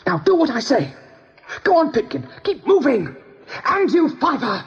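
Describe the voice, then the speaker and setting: An anxious rabbit leader urgently directs his companions with widely swinging pitch. He sounds protective, in a slightly muffled vintage radio play. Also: huge pitch swings, normal speed, in a large hall, exaggerated voice. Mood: urgent, worried. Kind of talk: 1980s radio play acting. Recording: dull vintage radio recording, faint music.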